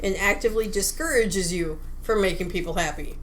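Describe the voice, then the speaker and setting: A woman co-hosting a casual discussion and explaining a game in an amused, halting way. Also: mid-range voice, a bit bright. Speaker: podcast co-host.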